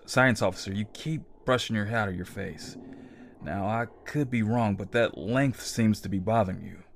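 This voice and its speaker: A man playing an older, terse but quietly paternal facility manager in an audio drama, with clipped, understated delivery. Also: fairly low pitch, normal speed, gravelly, a little hiss or hum. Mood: tentative.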